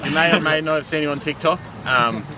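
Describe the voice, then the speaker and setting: A man casually reading a team lineup to his players outdoors at a football pitch, relaxed, with hesitations and jokes. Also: a little fast, a loud crowd. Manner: relaxed, hesitant, joking with teammates. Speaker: team manager.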